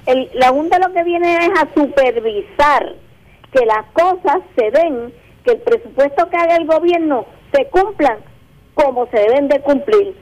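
A woman's voice: sits high, animated, unhurried, darkish tone, low-quality recording. A woman calling a radio show to challenge the panel in a pointed, insistent way.

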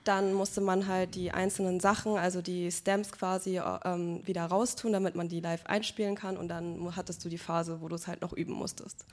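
A woman, a guest DJ and event organizer, chatting relaxed with fillers in a casual interview. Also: on the low side, brisk, few pauses. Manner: relaxed, uses fillers like "halt" and "genau".

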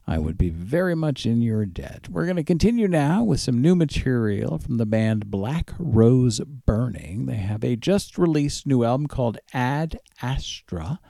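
Gravelly, mellow male radio DJ. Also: medium pitch, no room sound. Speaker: radio DJ, host of a dark music show. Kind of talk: casual radio music show presenting, back-announcing tracks. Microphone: close studio microphone with headphones.

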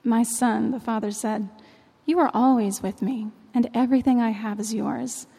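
A woman reading a long Bible passage aloud in church, in a measured, clear way. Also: on the high side, steady tone, dark tone. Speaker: scripture reader. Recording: long reverb of a large hall.

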